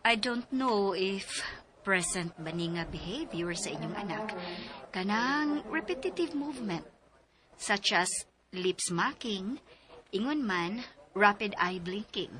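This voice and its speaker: A composed lady doctor from a Cebuano radio drama. She speaks slowly and clinically in a bright, clear-cut voice, setting out each term with care. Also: mid-range voice, animated, poor audio. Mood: composed, serious. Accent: Cebuano Filipino. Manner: deliberate, clinical, mixes in English terms. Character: composed female doctor explaining a child's diagnosis. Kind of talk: Philippine radio drama acting.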